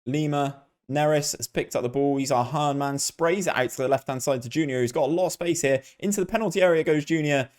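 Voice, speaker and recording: A man's voice: fairly high pitch, fairly quick, few pauses, darkish tone. A man hosting a gaming video, giving casual, chatty play-by-play and talking directly to his viewers. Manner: chatty, addresses viewers as guys.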